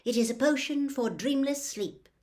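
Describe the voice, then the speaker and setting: Storybook role: caring school nurse played by a woman reader, brisk, reassuring, raised pitch. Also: darkish tone, natural voice.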